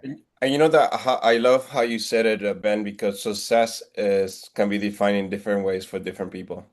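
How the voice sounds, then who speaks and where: A man in his forties with a Puerto Rican Spanish accent and a fairly low voice. He speaks earnestly and motivationally, restarting phrases as he goes. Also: fairly even intonation, a little fast. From Puerto Rico. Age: 40s. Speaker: real estate agent guest. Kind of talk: interview on video call.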